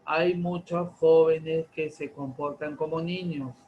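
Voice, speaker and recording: A man, lecturing teenagers in class, slow and deliberate, stretching key terms and asking many rhetorical questions. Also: a little high, fairly even intonation, warm tone, lo-fi sound, slight background noise. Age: 40s. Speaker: teacher.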